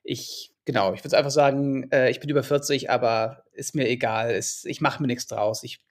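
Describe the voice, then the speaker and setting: A man's voice: mid-range voice, steady tone, moderate pace. A man in his forties from Hamburg, a guest author in a podcast interview, speaking reflectively and hesitantly with many fillers. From Hamburg, Germany. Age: 40s.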